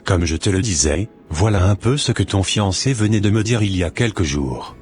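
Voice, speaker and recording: Man voicing a deep-voiced preaching church brother. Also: animated, soft background music. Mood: questioning. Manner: slow, sermonizing, gently admonishing. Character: Brother David, a calm preaching church elder.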